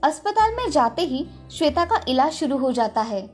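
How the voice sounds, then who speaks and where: A high-pitched female storyteller narrates a children's moral tale from a script. Music plays softly behind her voice. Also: big pitch swings, moderate pace.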